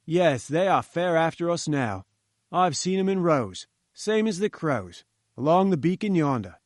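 A man voicing a huge male gatekeeper with blunt, rough country speech. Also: a little high, sing-song, measured pace, dry recording, clean studio sound. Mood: wary, gruff.

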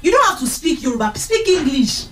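Woman doing a quick, insistent Nigerian customer, repeats her complaint; distant, roomy clip. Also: sits high, sing-song, noisy background. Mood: complaining. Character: fussy non-Yoruba female customer in nail shop. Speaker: customer in a skit.

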